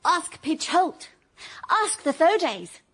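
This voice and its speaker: A woman's voice: very high voice, very animated, slow, fairly bright tone, exaggerated voice. An actress playing a pushy, self-satisfied woman who needles with breezy confidence in a radio comedy. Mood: needling. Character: pushy, self-assured woman, the late father's companion.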